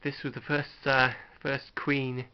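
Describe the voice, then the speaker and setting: A middle-aged British man with gravel in an otherwise smooth voice hosts a casual show-and-tell. He rambles along in an understated, hesitant way. The sound is dull and lo-fi, like a home recording. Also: mid-range voice, flat delivery, slow pace. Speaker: video host showing a tape collection.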